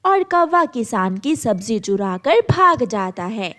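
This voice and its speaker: High-pitched woman telling a children's story. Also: sing-song, takes it slowly, fairly bright tone. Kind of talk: scripted children's story narration.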